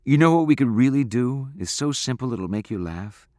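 Audiobook role: practical, insistent teenage girl read by a man, raised pitch, lively swings, close dry sound. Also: moderate pace, no room sound, close to an everyday voice. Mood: eager, determined. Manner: scolding, insistent, firm. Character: stern, practical, devoted teenage girl sweetheart. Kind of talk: audiobook character acting.

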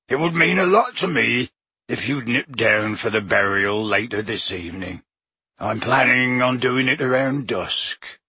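A big, heartbroken groundskeeper speaks slowly and heavily, his voice pitched low and hushed, as a male narrator puts him on. The tone is husky and dry, as if read close in a quiet studio. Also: dry recording, heavily acted. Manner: slow, heavy, sorrowful. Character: large grieving male groundskeeper writing a letter.

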